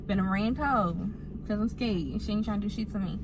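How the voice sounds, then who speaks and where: A woman's voice: medium pitch, brisk. A young woman with a Mississippi Southern accent, rambling casually with lots of fillers while driving her kids, recorded on a phone camera inside a car. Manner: relaxed, rambling, many fillers. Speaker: mom vlogger. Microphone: phone camera on a stand. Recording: loud car road noise.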